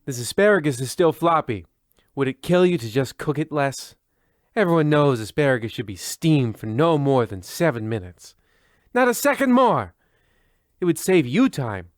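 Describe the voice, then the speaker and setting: A man's voice: a little high, very animated, normal speed, no echo. Man as a pompous, dry-sounding radio host. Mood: indignant rant. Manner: pompous, portentous, ranting. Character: pompous, digressive mystery-anthology narrator host. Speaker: narrator host. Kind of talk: parody radio anthology narration.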